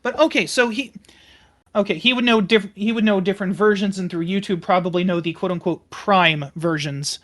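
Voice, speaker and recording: A woman playing a tabletop game, talking casually and haltingly as she describes her character and repeats phrases. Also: mid-range voice, fairly bright tone. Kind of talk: casual roleplay table talk.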